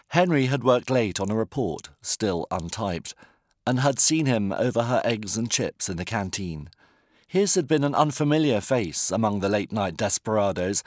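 A British man reading a comic novel as an audiobook narrator, measured and wry. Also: fairly low pitch, dry recording, clean studio sound. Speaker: author reading own novel.

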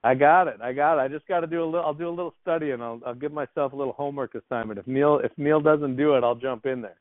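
A man's voice: a little high, very fast, dry recording. Joking male co-host on a phone line. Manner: joking, banter. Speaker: podcast co-host. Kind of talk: casual sports podcast chat. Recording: tinny phone-like dry sound.

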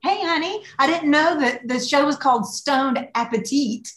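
A middle-aged woman with a thick Southern Gulf Coast accent chats as a podcast guest. Her voice is fairly high and friendly, and she laughs often. The recording sounds echoey and roomy. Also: moderate pace. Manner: warm, laughing, says honey. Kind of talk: casual interview chat.

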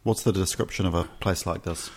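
A man from New Zealand with a Kiwi accent, a documentary maker talking as a guest in a casual chat, measured and reflective. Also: low-pitched, fairly even intonation, darkish tone.

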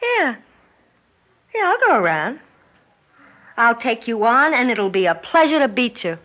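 An actress playing a proud, competitive young sports champion in a 1960s radio drama, curt and guarded. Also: female voice, on the high side, very animated, a little slow, noisy background. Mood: cocky, challenging. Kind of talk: 1960s radio drama acting with first-person narration. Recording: dull 1960s radio recording, loud music.

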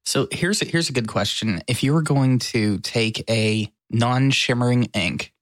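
A man's voice: mid-range voice, measured pace, no room sound. American guy chatting on a podcast, kinda wry, dry sound with no room. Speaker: podcast co-host. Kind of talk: casual chat.

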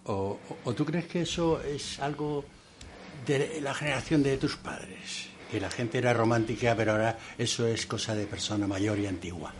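A man from interviewing a guest in a relaxed conversation, asking questions with playful, joking asides. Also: medium pitch, normal speed, slight background noise. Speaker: interviewer, writer.

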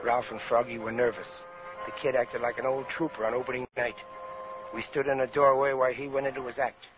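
A man's voice: medium pitch, flat delivery, quick pace, narrow, tinny audio, lightly acted. Man acting a hard-boiled safecracker, noisy old radio. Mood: hard-boiled, reflective. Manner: hard-boiled, slangy, clipped talk. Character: weary, tough veteran safecracker and father, narrating. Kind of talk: 1950s radio crime drama acting. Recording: noisy, band-limited old radio broadcast.

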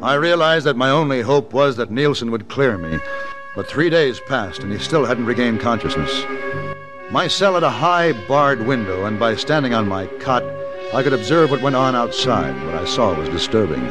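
An actor playing a resolute, level-headed gunfighter in an old radio western, speaking crisply and articulately. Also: male voice, medium pitch, lively intonation, normal speed, music in the background, close to an everyday voice, low-quality recording. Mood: grim, measured. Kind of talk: old-time radio western acting and narration.

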